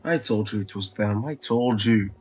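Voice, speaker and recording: A man's voice: lowish voice, normal speed, a little mellow, husky, soft background music. A man doing casual let's play commentary, reacting in short remarks and talking back to the game's characters.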